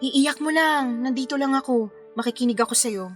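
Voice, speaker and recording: Caring confidante wedding planner, filipino radio drama, highish voice, earnest and advising. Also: female voice, normal speed, faint music behind. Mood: concerned, soothing.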